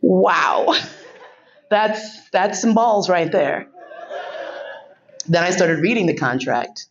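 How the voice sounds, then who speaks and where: A woman's voice: lowish voice, expressive, takes it slowly, muffled recording, slight background noise. A woman giving a keynote speech, telling a frank, profane story and laughing often.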